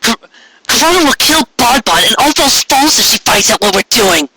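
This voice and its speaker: A man's voice: very high voice, sing-song, moderate pace, sharp tone, husky, voice pushed up, full character voice, low-quality recording. A man voicing an anxious, pleading female unicorn pony who stammers with worry in a story. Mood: frightened.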